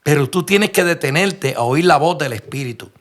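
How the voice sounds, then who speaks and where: Male Puerto Rican pastor, slightly high voice, earnest sermon storyteller who stops and restarts often. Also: lively intonation, normal speed, a bit bright. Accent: Puerto Rican Spanish. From Puerto Rico. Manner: earnest storytelling, frequent restarts and rhetorical questions. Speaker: preacher.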